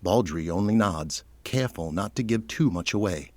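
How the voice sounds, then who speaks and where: A man's voice: low voice, expressive, moderate pace, a little mellow, no echo. A man delivering scripted narration for an audio drama, setting each scene.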